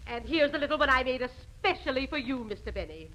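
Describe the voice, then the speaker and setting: A woman's voice: high voice, big pitch swings, quick pace, bright, forceful tone, exaggerated voice. An actress playing a sweet, proud motherly cook in a 1940s radio comedy. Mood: motherly, eager. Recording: faintly noisy old radio recording.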